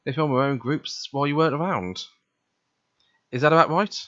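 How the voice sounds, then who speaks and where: A man's voice: fairly high pitch, fairly quick, a bit bright. A man reads visual novel narration and dialogue aloud for a let's play. His delivery is casual and conversational. The recording is a little dull and lo-fi. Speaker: let's play reader voicing the protagonist.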